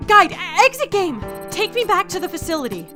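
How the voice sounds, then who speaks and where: A woman playing a flustered, emotional young female agent in an audio drama, expressive and stammering. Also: extremely high pitch, brisk, music in the background. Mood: urgent relief.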